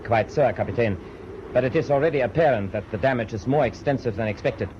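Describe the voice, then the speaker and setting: An actor in a radio drama playing an earnest, precise German ship's chief engineer, formal and deferential. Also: male voice, medium pitch, fast talker, darkish tone, close to an everyday voice. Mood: earnest, insistent. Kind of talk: British radio drama acting. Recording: dull old radio recording, faint noise.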